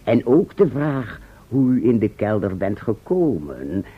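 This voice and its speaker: Pedantic radio-play sage, pompous formal diction, husky voice, swooping intonation. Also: male voice, fairly low pitch, sing-song, a little slow, full character voice. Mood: pedantic, affable. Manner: pompous, formal, elaborate wording. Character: verbose, self-satisfied male town scholar and prophet, pedantic. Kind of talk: Dutch radio play acting. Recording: muffled vintage radio play recording.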